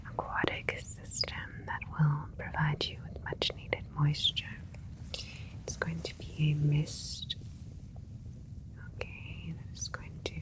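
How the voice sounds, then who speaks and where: A woman playing a gentle alien scientist in a whispered ASMR sci-fi roleplay, explaining things in a soothing, clinical way. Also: deep voice. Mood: caring, clinical. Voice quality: breathy whisper throughout. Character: gentle alien scientist doctor, proud of a hybrid creation.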